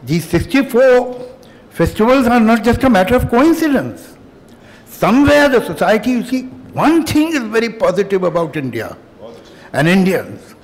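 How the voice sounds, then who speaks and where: An older man whose first language is Urdu gives a reflective, emphatic public speech at a festival, pausing often and adding wry humor. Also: high-pitched, big pitch swings. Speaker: festival speaker. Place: hotel hall. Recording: long hall reverb.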